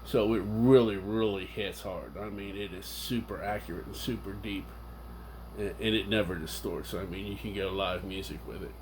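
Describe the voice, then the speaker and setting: A man's voice: low voice, steady tone, moderate pace, a little mellow, slight background noise. A man giving a casual, unscripted, rambling and technical review of his audio gear with enthusiasm.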